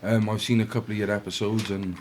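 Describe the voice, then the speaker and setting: A man's voice: on the low side, level tone, fast, a little hiss or hum. A young man from Wales with a South Welsh accent, a podcast guest telling his life story in a loose, rambling way full of restarts and fillers. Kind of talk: casual storytelling chat.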